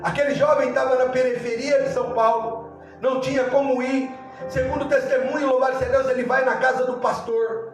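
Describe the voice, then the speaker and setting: A man's voice: extremely high pitch, level tone, a little fast, very echoey, distant mic, over music. A man with a Brazilian accent preaching in a fervent Pentecostal style, telling stories with frequent interjections of praise. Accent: Brazilian Portuguese. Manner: fervent, repetitive praise interjections, acts out dialogue. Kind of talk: Pentecostal sermon with storytelling.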